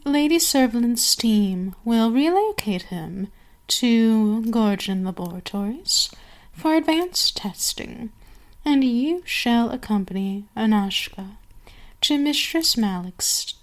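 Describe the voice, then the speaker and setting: Woman playing a very slow, commanding vampire queen. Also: a little high, expressive. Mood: conspiratorial, measured. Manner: slow, deliberate, domineering, with savoring pauses. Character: commanding seductive vampire queen addressing her enslaved servant. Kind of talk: ASMR roleplay, scripted monologue.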